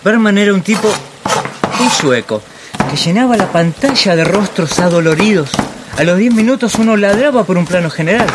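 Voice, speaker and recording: Pompous master chef, kitchen clatter. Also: male voice, high-pitched, expressive, moderate pace, background noise. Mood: musing, pompous. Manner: pompous, digressive, theatrical monologuing. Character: pompous, bullying male master chef, dreamy film snob. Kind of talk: radio play acting.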